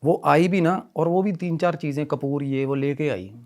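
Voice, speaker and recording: A man telling a story in animated conversational style, often repeating himself and mixing in English phrases. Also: on the high side, moderate pace. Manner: repetitive, mixes Hindi with English phrases. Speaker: podcast guest telling a ghost story.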